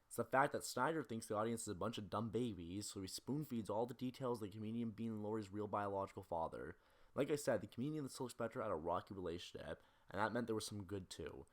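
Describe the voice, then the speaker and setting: Low voiced guy doing a scripted movie essay, talks quick, pretty opinionated. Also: animated. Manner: critical, opinionated. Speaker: video essayist narrator. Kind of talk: scripted film analysis narration.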